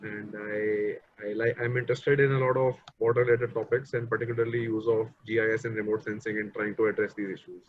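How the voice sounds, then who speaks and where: A man working as a senior associate on a water team, introducing himself softly on a video call. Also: on the low side, flat delivery, fairly quick, hardly any pauses. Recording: muffled video-call audio.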